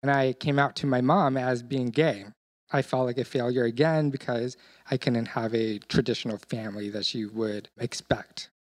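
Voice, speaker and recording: A man's voice: medium pitch, steady tone, normal speed, no room sound. A young man whose first language is Vietnamese, speaking English as he recounts his family story openly and emotionally. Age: young adult. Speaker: interviewee. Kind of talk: personal testimony.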